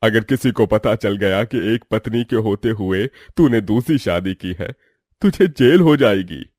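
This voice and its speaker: A man's voice: fairly low pitch, animated, a little slow, a little mellow, dry recording, studio quality. A man voicing a worried middle-aged father, shocked and warning, in a cartoon story. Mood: alarmed.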